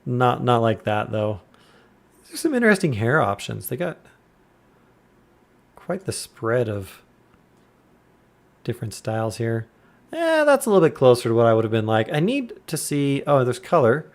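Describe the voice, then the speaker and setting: A man in his thirties talks through a game on a live stream in a deliberately hushed voice, loose and chatty. His pitch sits in an ordinary middle range, and he reads out viewers' names as he goes. A desk mic in a quiet room at home picks him up up close. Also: gravelly. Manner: chatty, relaxed, greets viewers by name. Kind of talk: casual live-stream chat while gaming.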